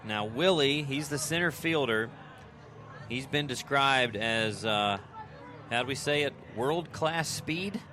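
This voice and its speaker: An American man doing live radio play-by-play of a baseball game, in clipped descriptive phrases that turn conversational between pitches. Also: lively intonation, slow pace, sharp tone. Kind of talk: live sports commentary.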